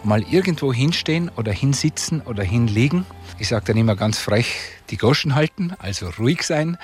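Low-voiced Tyrolean man over music. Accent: Tyrolean Austrian. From Tyrol, Austria. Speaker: local guide being interviewed. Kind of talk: conversational guided commentary on the region.

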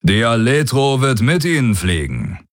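A bold alien warrior lord played by a male narrator, pitched well above his plain voice in a dry studio sound. He is commanding and self-assured, with cool mockery, in science-fiction audiobook style. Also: big pitch swings, a little slow, dry recording. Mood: confident. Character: proud, bold alien warrior warlord, coolly mocking. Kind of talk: audiobook character dialogue.

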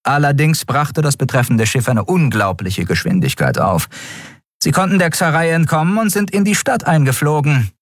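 Man doing a predatory alien first officer woman, raised husky voice, brisk reporting, storybook reading. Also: on the high side, very animated, dry recording, clean studio sound, voice pushed up. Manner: crisp military report.

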